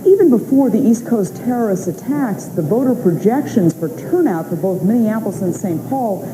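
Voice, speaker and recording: A woman's voice: medium pitch, lively intonation, normal speed, noisy background. An American woman giving a scripted TV news report.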